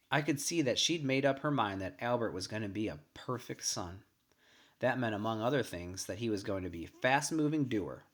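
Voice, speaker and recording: Male narrator, mid-range pitch, reading literary first-person fiction aloud. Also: fairly bright tone. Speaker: story reader. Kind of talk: scripted narration of a short story, first person.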